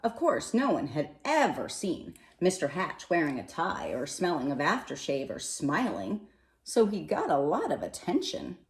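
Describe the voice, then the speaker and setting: A woman reading a children's picture book aloud in an expressive, animated way, with dramatic pauses and emphasis. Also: deep voice. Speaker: storybook reader. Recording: roomy, echoey sound.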